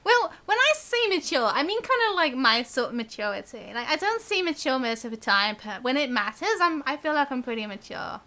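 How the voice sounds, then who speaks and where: A woman's voice: high-pitched, big pitch swings, a little fast, few pauses, pushed and bright. A young woman chatting casually on a live game stream in a rambling, giggly way. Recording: game music faintly behind.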